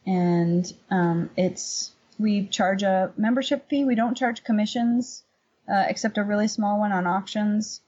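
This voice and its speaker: Husky lady chatting as a podcast guest, casual answers, kinda dull lo-fi audio. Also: medium pitch, measured pace, warm tone. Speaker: podcast guest, painter and workshop teacher. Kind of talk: casual conversational interview answers.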